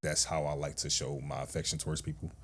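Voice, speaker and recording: Man, podcast co-host, very deep voice, casual and candid. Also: little pitch movement, fast talker. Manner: earnest, candid, slangy, with a deep stern register.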